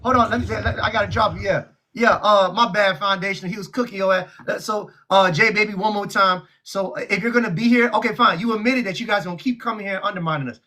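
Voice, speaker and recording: A man's voice: high-pitched, fast, few pauses, bright, forceful tone. A man hosting a live stream debate, who interrupts often and teases his callers. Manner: mocking, interrupts, laughs a lot. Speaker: host.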